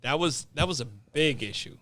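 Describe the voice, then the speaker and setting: A man's voice: fairly high pitch, expressive, moderate pace, sharp tone, no echo. A man speaking as a podcast guest, telling personal stories casually in an informal, sweary way and often checking in with "right?".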